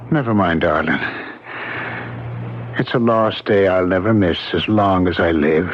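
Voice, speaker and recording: An actor playing a tender, relieved husband waking from sedation in a 1970s radio drama. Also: male voice, deep voice, takes it slowly, long pauses. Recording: muffled, noisy vintage radio broadcast.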